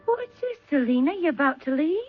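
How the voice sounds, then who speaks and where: A woman's voice: sits high, big pitch swings, fairly quick, soft background music. An actress playing a fussing, folksy small-town mother in a 1970s radio drama. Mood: doting. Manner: doting, chatty, folksy. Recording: tinny old radio broadcast.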